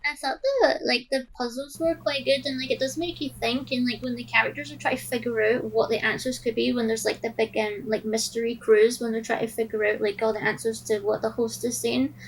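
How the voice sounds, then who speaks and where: A woman's voice: fairly high pitch, steady tone, brisk, gravelly, few pauses, poor audio. A woman co-hosting a podcast, chatting about films in a casual, hesitant way. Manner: hesitant, frequent "like". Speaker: podcast co-host. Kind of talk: casual film discussion.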